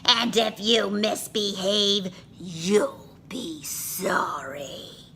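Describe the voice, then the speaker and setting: A woman's voice: mid-range voice, extremely slow, gravelly, a bit bright, exaggerated voice. A woman voicing an unpleasant, witchlike substitute teacher who hisses and snaps stern commands in a children's storybook. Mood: stern, threatening. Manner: snapping, hissing, stern commands.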